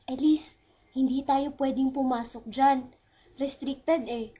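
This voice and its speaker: A woman playing a cautious young hospital coworker who speaks in a hushed, cautioning way. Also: high-pitched, fairly even intonation, takes it slowly, husky, exaggerated voice.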